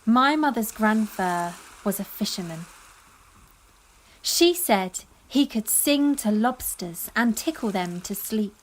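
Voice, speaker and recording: An actress playing a fond granddaughter from a fishing family, reminiscing lyrically in a poetic audio play. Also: female voice, medium pitch, lively intonation, slow.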